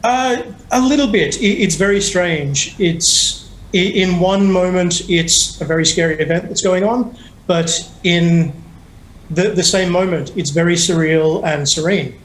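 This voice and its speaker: A man's voice: high voice, measured pace, poor audio. Hesitant man on a remote call. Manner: hesitant, soft-spoken. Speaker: interviewee. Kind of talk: remote news interview. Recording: faint background noise.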